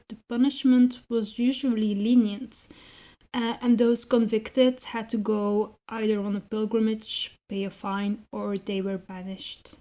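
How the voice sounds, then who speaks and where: Woman writer being interviewed, mellow highish voice, talks careful and articulate. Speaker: interviewed writer and zine co-founder. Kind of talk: reflective interview answers.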